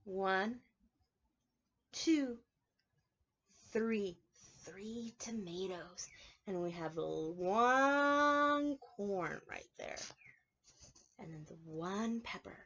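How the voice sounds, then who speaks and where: A woman's voice: mid-range voice, lively intonation, drawn-out words, pauses often, fairly bright tone, highs cut off. A woman preschool teacher reading a picture book aloud to young children, explaining it simply and asking them questions. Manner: instructive, simple phrasing, asks children questions.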